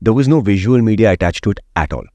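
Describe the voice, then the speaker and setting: Low-voiced radio jockey, dry studio sound. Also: male voice, lively intonation, fast, warm tone, dry recording, lo-fi sound. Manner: explains at length and often checks in with "right?". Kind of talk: opinionated interview conversation.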